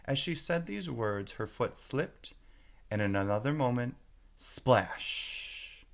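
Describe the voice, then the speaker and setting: A man reading a children's story aloud as narrator, in a measured, expressive way. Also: mid-range voice, gravelly.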